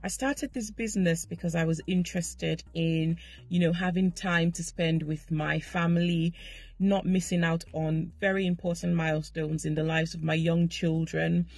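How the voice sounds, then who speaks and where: Woman from nigeria, gravelly fry in her voice, dry close sound, slow careful testimonial. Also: lowish voice, fairly even intonation, darkish tone, no room sound. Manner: measured, hesitant with fillers. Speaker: healthcare professional. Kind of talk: personal testimonial.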